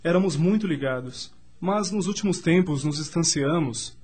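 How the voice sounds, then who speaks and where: Radio drama young gentleman , played by a man: earnest, courteous, devoted, higher-pitched, a touch husky. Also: moderate pace, soft background music. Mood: wistful, friendly. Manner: formal, polite.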